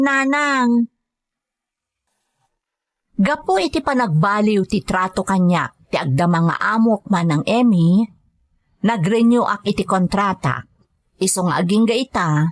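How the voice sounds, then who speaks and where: A woman's voice: medium pitch, no echo, natural voice. A woman with an Ilocano accent playing a devoted, grateful daughter working abroad as a caregiver in a radio drama, speaking earnestly and respectfully. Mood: grateful, reflective.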